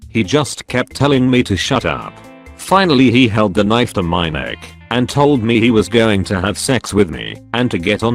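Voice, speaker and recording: Male narrator, low-pitched, even read-aloud delivery, faint music bed underneath. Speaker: narrator reading online posts. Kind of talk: scripted narration. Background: soft background music.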